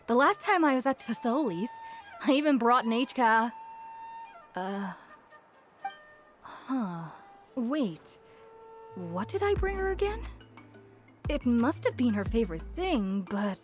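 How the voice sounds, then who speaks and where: Forgetful female villager in a game: highish, lively swings, chatty and expressive. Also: takes it slowly, pauses often. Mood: puzzled. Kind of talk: English video game voice acting. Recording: clear background music.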